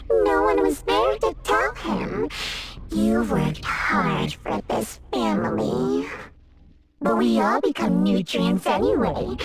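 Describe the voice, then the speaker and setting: An actress voicing an eerie collective servant voice in a video game, swinging between mournful and giggling and repeating herself. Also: female voice, on the low side, creaky, exaggerated voice. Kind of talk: video game cutscene voice acting. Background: loud game music.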